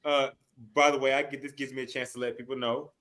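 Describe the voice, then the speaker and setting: A man's voice: medium pitch, level tone, quick pace, a bit bright. A man hosting a sports podcast in casual chat, talking in a slangy, profane way.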